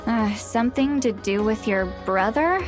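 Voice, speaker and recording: A woman playing a calm, thoughtful young woman in an anime-style video game, explaining things in a measured way. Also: on the high side, over music. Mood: hesitant, gentle.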